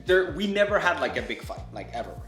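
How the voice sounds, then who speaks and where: A man's voice: on the high side, very animated, quick pace, a bit bright, roomy sound, soft background music. A man in his thirties from Quebec with a Québécois French accent, chatting casually as a travel vlogger with an easygoing manner and frequent laughs. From Quebec, Canada. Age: 30s. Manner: easygoing, laughs often, says "like" a lot. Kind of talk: casual chat.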